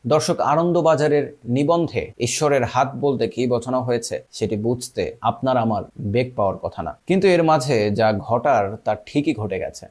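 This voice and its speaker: A man presents a film analysis in scripted narration. His voice sits at an ordinary middle pitch as he addresses his viewers. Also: moderate pace, few pauses, darkish tone.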